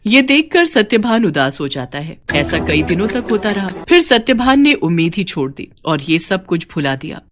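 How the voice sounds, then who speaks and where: A woman narrates a moral story with plenty of expression. Her voice sits a little low and moves widely in pitch. There is faint noise in the recording. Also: big pitch swings, moderate pace, hardly any pauses.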